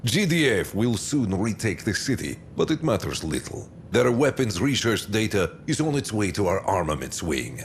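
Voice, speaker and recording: An actor playing a smooth, calculating military commander in a video game, menacing and self-assured, speaking deliberately with weighty pauses. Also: male voice, fairly low pitch, sing-song, a little hiss or hum. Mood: smugly pleased. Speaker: military commander briefing a general. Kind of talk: scripted video game cutscene briefing.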